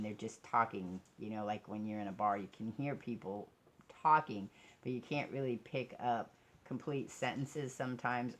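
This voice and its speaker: A woman vlogger talking casually and candidly, in an informal, rambling way that drifts from one thought to the next. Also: a bass voice. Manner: rambling, digressive, often trailing off and changing track. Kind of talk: casual rambling personal vlog talk.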